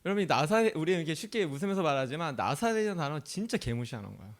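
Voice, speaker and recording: A man preaching a sermon in a chatty, animated way. His voice sits fairly high for a man and swings widely up and down. He keeps asking the audience questions and laughs easily. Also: racing. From Chungcheong, South Korea. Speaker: pastor.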